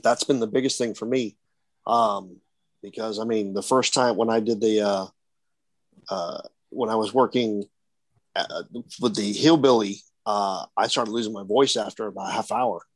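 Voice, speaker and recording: A man's voice: lowish voice, steady tone, moderate pace, no room sound. A man who acts at haunted attractions, talking casually on a panel and rambling with many fillers and restarts.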